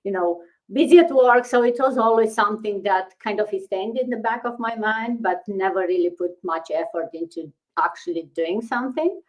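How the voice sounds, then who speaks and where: Female interview guest, middle-aged, from Romania, medium pitch, casual conversational storytelling. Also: normal speed, few pauses, poor audio.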